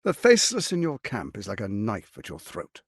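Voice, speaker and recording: A man's voice: on the low side, huge pitch swings, moderate pace, creaky, slightly rough, no echo, clean studio sound, heavily acted. A man voicing a suave, scheming, arrogant archduke in a fantasy video game, silky, grandiose and condescending in his theatrical delivery. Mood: smooth, commanding.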